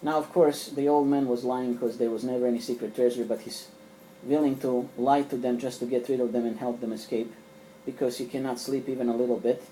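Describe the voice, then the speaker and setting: A man's voice: mid-range voice, little pitch movement, fairly quick, dark tone, a little hiss or hum, low-quality recording. A middle-aged man whose first language is Serbian, casually showing his comic collection at home, rambling with fillers and restarts. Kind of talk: casual collector show-and-tell. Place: small room at home.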